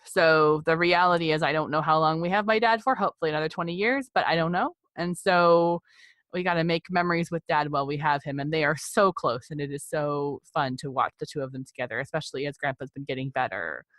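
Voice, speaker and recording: Female podcast guest, forties. Low pitch, dry recording, candid and reflective conversational delivery. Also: fast, fairly bright tone, no room sound. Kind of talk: candid personal interview conversation.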